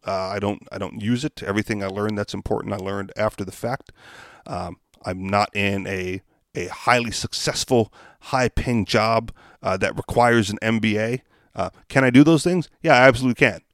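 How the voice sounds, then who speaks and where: A man's voice: on the low side, no room sound, clean studio sound. A man from Hawaii in his thirties, hosting a podcast, reading a news article and then musing casually, full of uhs and restarts. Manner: reads haltingly with many uhs, then rambles with fillers. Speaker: podcast host.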